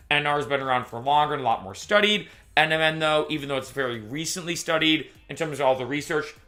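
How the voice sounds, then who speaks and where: A young man chats to camera in a casual vlog, his voice bright and a little high for a man. He talks in an animated way and keeps stopping to restart or correct himself. Faint music plays underneath. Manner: chatty, restarts and self-corrections, animated retelling. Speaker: YouTuber.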